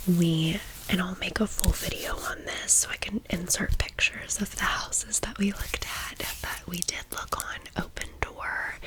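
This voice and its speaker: A woman whispers her way through an informal ASMR chat at a very slow pace. She is in her thirties and talks like a host confiding in friends, chatty and casual, in a lowish voice. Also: poor audio. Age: 30s. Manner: chatty, informal, gushing asides. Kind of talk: whispered ASMR storytime and haul.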